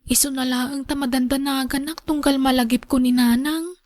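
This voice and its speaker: A woman's voice: high-pitched, fairly even intonation, moderate pace, a little mellow, dry recording, natural voice. Actress as anxious young Ilocano woman, plaintive. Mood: worried. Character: anxious young pregnant woman, meek and pleading. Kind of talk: radio drama acting.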